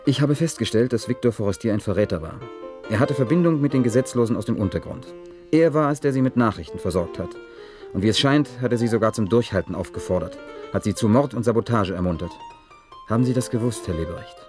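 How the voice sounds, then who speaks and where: A man's voice: on the low side, lively intonation, fast, music in the background, lightly acted. An actor playing an earnest, methodical male investigator in a German radio play, speaking formally, precisely and insistently. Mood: insistent, probing.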